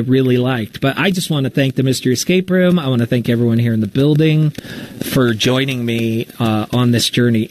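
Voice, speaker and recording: A man's voice: mid-range voice, a little mellow, a little hiss or hum, low-quality recording. A man talking casually and candidly about his own work in a live Q&A, with a self-deprecating manner. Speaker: radio talk show host and podcast storyteller.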